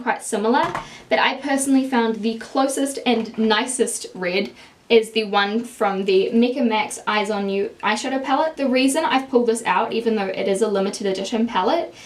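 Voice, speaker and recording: Chatty young New Zealand woman, bright. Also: fairly high pitch. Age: young adult. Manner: chatty, explains product swatches while holding up palettes. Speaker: beauty vlogger. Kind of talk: casual makeup review to camera. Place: indoors, small room with plain wall.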